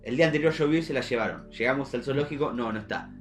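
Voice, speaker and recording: A man's voice: mid-range voice, rapid-fire. Young Argentine man chatting over guitar music. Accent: Rioplatense Argentine. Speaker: podcast co-host. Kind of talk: casual podcast chat.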